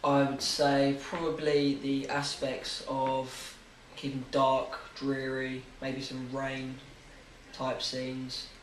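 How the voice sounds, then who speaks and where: A man's voice: mid-range voice, completely flat delivery, slow pace, a little hiss or hum. An eighteen-year-old man answering interview questions, hesitant and full of fillers. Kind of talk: casual answers. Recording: echoey room, far from mic.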